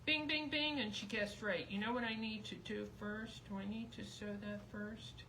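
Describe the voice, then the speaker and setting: A woman teaching sewing live, chatty and rambling, thinking aloud as she works, with her voice going hoarse. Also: mid-range voice, steady tone, normal speed, pushed and bright. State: losing her voice. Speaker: sewing instructor. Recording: noisy background.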